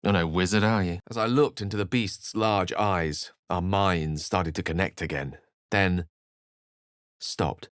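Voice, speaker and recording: A refined, scholarly video game wizard in middle age. He phrases things ornately and with wry precision, theatrical yet measured. The recording is close and dry. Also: deep voice, fairly even intonation, smooth, no room sound. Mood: grave storytelling. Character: eloquent, refined adult male wizard companion, wry and scholarly.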